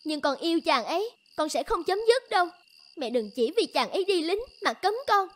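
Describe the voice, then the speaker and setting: A woman's voice: very high voice, animated, normal speed. A woman voicing a devoted, stubborn young village woman in love in a cartoon, earnest and pleading. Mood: pleading, defiant. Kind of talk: cartoon voice acting.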